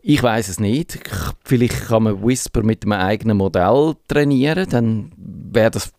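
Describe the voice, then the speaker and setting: A man's voice: lowish voice, moderate pace, darkish tone, no room sound. Swiss German man, radio tech host, chatty and explanatory, dry close mic. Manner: chatty, explaining, reads listener mail aloud. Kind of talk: casual radio chat.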